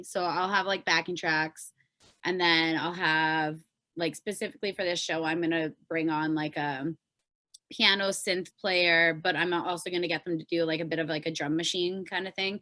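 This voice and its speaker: A woman's voice: lowish voice, steady tone, normal speed, sharp tone, no room sound. A Canadian woman chatting casually and informally as a podcast guest, peppering her talk with "like". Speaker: podcast guest, pop singer. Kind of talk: casual chat.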